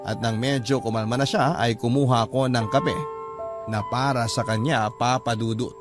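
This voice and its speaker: A man's voice: medium pitch, steady tone, normal speed, lightly acted. A Filipino-accented man acting as a sincere young letter-sender, narrating his love story for radio in a confiding way. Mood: wistful, resigned. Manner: earnest, confiding. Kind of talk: dramatized first-person radio narration. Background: music bed.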